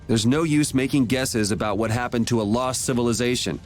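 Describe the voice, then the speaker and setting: A man's voice: medium pitch, brisk, faint music behind, close to an everyday voice. An actor playing a blunt, impatient young swordsman hero in an anime video game, speaking in a cool, sardonic, curt manner.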